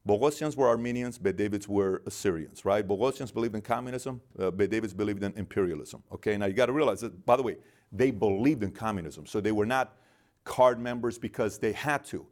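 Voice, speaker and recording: A middle-aged man from Iran with a fairly low voice talks through his story as an interview guest. He acts out both sides of every conversation and keeps the tale moving with lively pacing. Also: fairly quick. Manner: animated storyteller, acts out dialogue in quotes. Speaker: guest, business founder.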